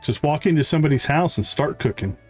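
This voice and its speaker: A man's voice: on the high side, lively intonation, fast talker. A man giving casual gameplay commentary as a game streamer. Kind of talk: casual gameplay commentary, reading dialogue aloud. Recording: music playing behind the voice. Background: game music.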